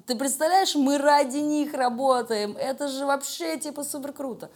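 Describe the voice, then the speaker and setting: A woman's voice: high-pitched, moderate pace. A woman being interviewed, talking casually and telling stories in informal, slangy speech. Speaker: animation studio creative director being interviewed. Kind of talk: casual conversational storytelling interview.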